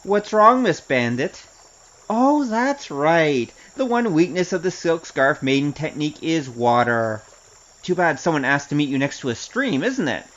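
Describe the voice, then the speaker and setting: A man's voice: a little high, sing-song, unhurried, a bit bright. Man acting a wry gambler, dull recording. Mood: taunting. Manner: sardonic, teasing. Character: wry, cocky wandering gambler and martial artist. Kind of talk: audio drama acting with spoken self-narration. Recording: dull, slightly noisy audio.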